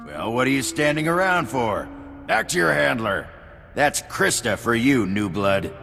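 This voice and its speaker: Brash, swooping, scornful hunt announcer. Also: male voice, medium pitch, big pitch swings, unhurried, gravelly, sharp tone, exaggerated voice. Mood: commanding. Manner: theatrical, mocking, barking. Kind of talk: video game voice acting. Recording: echoing hall with background music.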